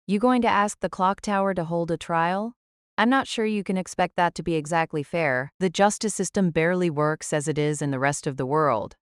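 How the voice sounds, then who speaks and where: Shrewd elderly aristocratic mage, played by a female narrator: smooth, lowish voice, casual and teasing, quietly threatening, dry close sound. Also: no echo, close to an everyday voice. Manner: breezy, teasing, nonchalant. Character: shrewd, casually menacing old noblewoman mage, a realist. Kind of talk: audiobook character acting.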